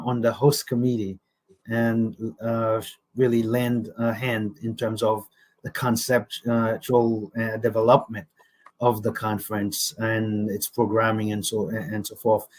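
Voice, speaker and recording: A middle-aged man from Haiti, an executive director answering interview questions, hesitant with many pauses and restarts. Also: mid-range voice, level tone, a little mellow. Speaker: guest, nonprofit executive director.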